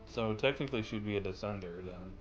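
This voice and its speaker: A man's voice: fairly low pitch, a little fast, lo-fi sound. A man livestreaming a game, reading lines aloud and commenting as he muses to himself. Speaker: streamer. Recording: game music behind voice. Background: game music.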